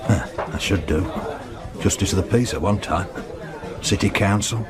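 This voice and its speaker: An actor playing a dry, dogged police detective, understated in a radio drama. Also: male voice, low voice, moderate pace, a loud crowd, close to an everyday voice. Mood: probing. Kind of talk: naturalistic radio drama acting.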